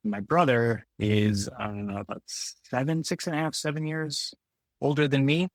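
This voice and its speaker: A man's voice: medium pitch, steady tone, unhurried, no room sound, clean studio sound. An American man in his forties from the Detroit area, talking casually and reflectively as an interview guest, rephrasing as he goes. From Detroit area, Michigan, United States. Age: 40s. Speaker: podcast guest.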